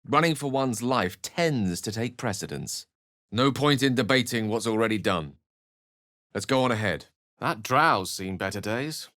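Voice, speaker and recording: A man's voice: medium pitch, expressive, unhurried, a bit bright. A man voicing a learned, wry and romantic wizard in a video game, speaking in an articulate, theatrical way. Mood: wry, musing. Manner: theatrical, articulate, literary phrasing. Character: learned human male wizard, eloquent, wry, romantic and dramatic. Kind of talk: video game character voice acting.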